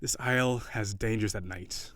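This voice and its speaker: A man's voice: fairly low pitch, normal speed, a bit bright, no room sound, natural voice. A man voicing a haughty, weary prince turned gigantic sea monster, with formal, old-fashioned, prissy diction in an audio roleplay. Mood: guarded, softening.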